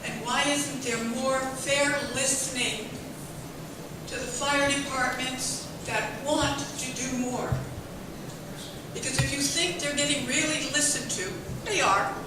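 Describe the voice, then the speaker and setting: A woman from the audience making a loud, passionate public comment at a community meeting, urging people to act. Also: sits high, steady tone, measured pace. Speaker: audience member. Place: public library meeting room. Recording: echoey room from far off with noise.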